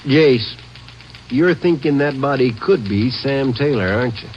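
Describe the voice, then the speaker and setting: An actor playing a folksy, informal small-town Western sheriff with an American accent in an old-time radio drama. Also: male voice, mid-range voice, animated, unhurried, close to an everyday voice. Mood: concerned. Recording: dull vintage radio recording, faint noise.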